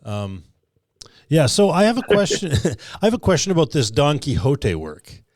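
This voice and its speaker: A man hosting a podcast interview, chatting in a curious, animated way and often chiming in to agree. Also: a little high, normal speed, no echo, clean studio sound. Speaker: podcast host. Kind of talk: casual interview chat.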